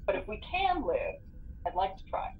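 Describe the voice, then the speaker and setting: A woman talks casually as a player in a tabletop game. Her pitch is medium but moves around a lot, giving her an upbeat, expressive delivery. The recording carries faint noise. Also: normal speed, narrow, tinny audio.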